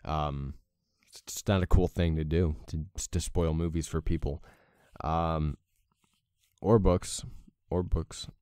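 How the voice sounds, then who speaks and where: Young guy w/ deep morning voice, rambly let's play chat, smooth and clear. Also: steady tone, slow, dark tone, no echo, clean studio sound. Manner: rambling, many fillers, self-deprecating. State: morning voice with a crack. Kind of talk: casual gameplay commentary.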